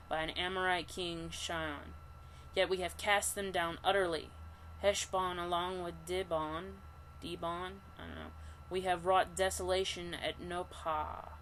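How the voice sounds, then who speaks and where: A woman with a self-described monotone voice, leading an online scripture study, reading passages aloud and talking them through with halting restarts. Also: fairly low pitch, slight background noise, low-quality recording. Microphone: computer mic for an online session.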